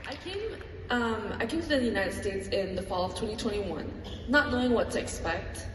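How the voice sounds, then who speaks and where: A woman's voice: mid-range voice, lively intonation, normal speed, very noisy. A young woman, giving an earnest, reflective personal talk to an audience. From Malaysia. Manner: earnest, reflective, with light laughs. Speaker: student speaker. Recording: echoey hall from far off.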